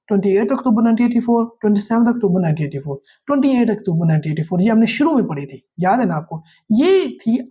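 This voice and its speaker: A man's voice: high-pitched, warm tone, breathy edge. A man with a Pakistani accent giving a law exam-prep lecture, repeating key phrases and asking questions that he answers himself in a didactic way. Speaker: law teacher.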